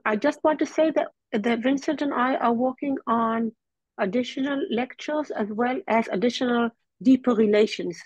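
A woman's voice: fairly high pitch, moderate pace, darkish tone, husky, no room sound, rough recording. A woman co-hosting an online meeting, making brief, direct announcements over a video call. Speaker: meeting co-host.

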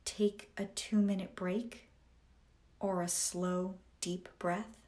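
Very slow-paced woman guiding meditation. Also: mid-range voice, flat delivery, warm tone. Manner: deliberate, measured delivery in short phrases with pauses. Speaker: guided meditation narrator. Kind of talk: scripted guided meditation affirmations.

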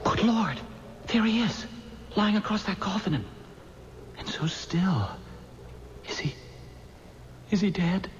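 An actor playing a nervous, hesitant, frightened companion in a 1970s radio horror drama. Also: male voice, high voice, expressive, takes it slowly, long pauses. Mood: frightened, shocked. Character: frightened, reluctant companion in a graveyard. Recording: muffled, very noisy old radio recording.

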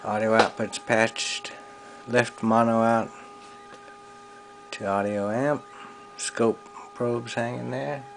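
A man's voice: medium pitch, steady tone, slow pace, gravelly, long pauses. A man giving a terse, off-the-cuff technical demonstration in short step-by-step fragments, recorded on a handheld camera in a small workshop. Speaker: electronics hobbyist demonstrator. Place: small cluttered indoor electronics workshop. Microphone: handheld camera microphone. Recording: noisy handheld camera audio with hum. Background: hum and test tone from audio gear.

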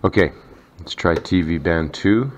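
A man's voice: deep voice, measured pace. A man giving a casual, unscripted demonstration as a hobbyist, explaining things in a matter-of-fact way in a kitchen. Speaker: radio hobbyist demonstrating a vintage set. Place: kitchen indoors. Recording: dull sound with faint background noise.

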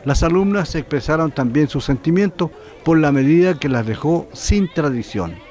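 A man narrating a local television news report in a formal, even reading voice. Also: fairly high pitch, moderate pace.

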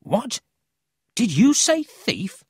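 A narrator voicing a cocky, excitable but friendly city boy in a children's storybook, brash and eager. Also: mid-range voice, measured pace, breathy edge, no echo, clean studio sound, pitched above the performer's own voice, full character voice. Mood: excited.

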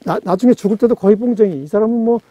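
A man in his forties talks fast, piling up fillers as he eagerly explains. His voice sits high but sounds dark and mellow, and it comes through dry and close. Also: no room sound. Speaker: music enthusiast guest. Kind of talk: casual chat. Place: outdoors in a rural mountain yard. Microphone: far camera.

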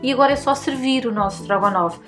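Woman, 30s, European Portuguese accent, cooking host, friendly instructive, quick delivery, faint background music. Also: high voice, fairly bright tone. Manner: friendly, instructive, addresses viewers directly. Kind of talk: recipe tutorial voice-over. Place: home room with bookshelves.

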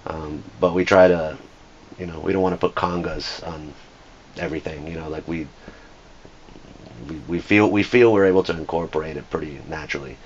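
A man's voice: rumbling low, fairly even intonation, normal speed, dull audio, slight background noise. A man from Argentina, a guitarist, speaking thoughtfully in an interview, often restarting phrases, in a music studio. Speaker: band guitarist. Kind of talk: casual interview talk.

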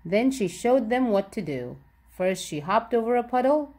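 A woman's voice: on the high side, expressive, normal speed, warm tone. A woman reading a children's picture book aloud, expressively and with careful enunciation for young listeners. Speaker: read-aloud storybook narrator.

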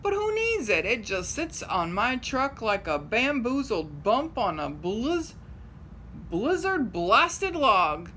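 Rich: A gloomy, folksy ski resort owner from a children's storybook, put on by a woman reader, drawing out his words as he grumbles. His voice swings from low mutters to high complaints. A faint hum lies underneath. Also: sing-song, slow, pushed and bright, heavily acted. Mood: grumbling.

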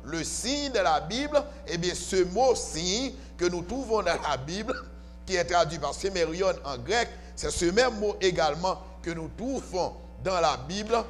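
A man's voice: sits high, expressive, measured pace. A man preaching to a congregation as pastor, emphatic and often asking questions, on a handheld mic in a church. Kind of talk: sermon. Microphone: handheld microphone, filmed from far camera. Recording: church hall reverb with faint music.

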